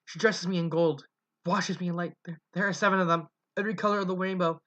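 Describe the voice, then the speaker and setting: A reader voicing a dazed young colt who murmurs in halting, dreamy fragments with stammers. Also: mid-range voice, steady tone, fairly bright tone, lightly acted. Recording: dull lo-fi recording.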